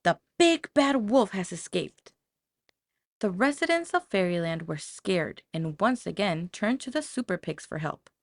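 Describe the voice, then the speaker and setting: A woman narrating a children's story from a script in a dramatic, expressive storytelling manner. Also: mid-range voice, unhurried, gravelly, no echo. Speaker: audiobook narrator.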